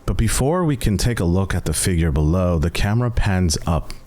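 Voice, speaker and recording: Low-voiced man narrating with dramatic swings. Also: expressive, darkish tone. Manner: theatrical, suspenseful, with deliberate pauses. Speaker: game master. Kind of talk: slow, dramatic cinematic narration of a tabletop game.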